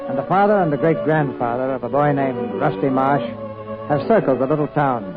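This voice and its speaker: An actor narrating a small-town radio drama in a scripted storytelling style. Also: male voice, mid-range voice, fairly quick, natural voice. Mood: solemn. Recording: tinny old radio, music behind.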